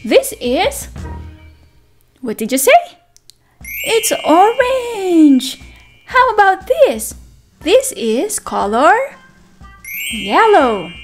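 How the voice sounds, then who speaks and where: A woman teacher reading a picture book aloud to toddlers in an animated, sing-song manner, with simple repeated words and questions. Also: very high voice. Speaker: children's teacher and read-aloud host. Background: background music.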